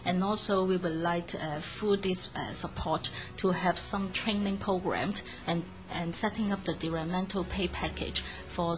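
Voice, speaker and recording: Low-pitched Hong Kong woman over music. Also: steady tone, moderate pace, few pauses. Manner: earnest, non-native English phrasing. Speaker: manager of a children's hospital play service. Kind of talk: prepared spoken presentation for a charity video. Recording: music behind the voice. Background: background music.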